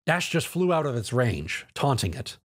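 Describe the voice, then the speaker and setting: A man's voice: medium pitch, very animated, moderate pace, slightly rough. A man reading scripted narration of an action story.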